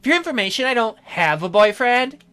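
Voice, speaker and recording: A man voicing an excitable, touchy young nerdy woman for a visual novel, in an indignant, emphatic manner. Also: extremely high pitch, clean tone, pitched above the performer's own voice, heavily acted. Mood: testy. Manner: indignant, emphatic, fast-swinging.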